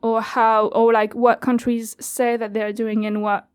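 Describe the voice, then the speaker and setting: A woman's voice: fairly high pitch, flat delivery, measured pace, clear, resonant tone. A French woman in her twenties, chatting casually as an interview guest, with frequent laughs and fillers. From France. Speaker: guest, youth radio studio coordinator.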